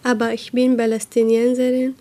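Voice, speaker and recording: A woman's voice: on the high side, flat delivery, moderate pace, dark tone. A nineteen-year-old woman from Syria speaking casually as a participant on youth radio. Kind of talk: casual self-introduction.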